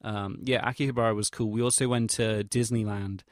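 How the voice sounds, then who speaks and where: A young English man with a fairly low voice talks in a casual audio log, recorded close and dry. He rambles hesitantly through his recollections, using slangy, sweary, self-deprecating wording. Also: steady tone, a little fast, no room sound. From England. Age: young adult. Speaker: vlogger.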